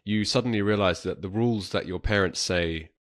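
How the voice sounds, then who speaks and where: A man's voice: fairly low pitch, a little fast. A man from the south of the UK with a southern English accent, hosting a podcast in a polite, effusive, conversational way. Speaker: podcast host. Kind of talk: conversational interviewing.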